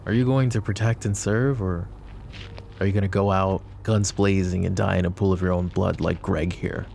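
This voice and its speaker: Male VA as a possessive mafia boss, very deep and gravelly, soft intimate delivery, faint music underneath. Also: fairly even intonation, moderate pace, slight background noise. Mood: protective. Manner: soft, intimate, addresses listener directly. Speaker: ASMR roleplay voice actor. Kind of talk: boyfriend ASMR roleplay.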